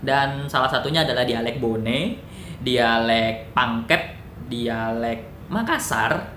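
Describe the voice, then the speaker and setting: A man's voice: slow, pushed and bright. A man casually explaining words in an educational video, thinking aloud with fillers, self-corrections and jokey asides. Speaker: educational YouTuber. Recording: echoey room with noise and rumble.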